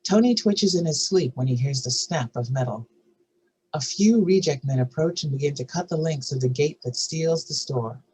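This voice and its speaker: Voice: medium pitch, moderate pace, darkish tone, breathy edge, low-quality recording. A narrator reading scene headings and action lines from a screenplay at a table read. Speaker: narrator reading stage directions.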